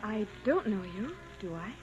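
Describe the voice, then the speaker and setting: A woman's voice: medium pitch, sing-song, moderate pace, close to an everyday voice. An actress playing a romantic, restless young society lady in an old-time radio drama, dreamy and playful. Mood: shy, intrigued. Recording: dull old radio recording with music.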